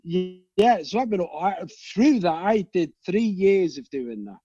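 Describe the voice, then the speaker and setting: A man with a Liverpool accent, a guest telling animated, rambling stories and swearing freely. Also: high-pitched, measured pace, husky, no room sound, muffled recording. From England. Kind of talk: casual storytelling chat.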